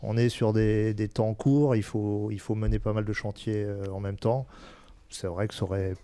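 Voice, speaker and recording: Official guy, low creaky voice, close dry sound, keeps feeling for the right words. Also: flat delivery, normal speed, mellow, dry recording. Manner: hesitant, searching for words, candid. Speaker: senior local-government administrator, interview guest. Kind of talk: explanatory interview talk.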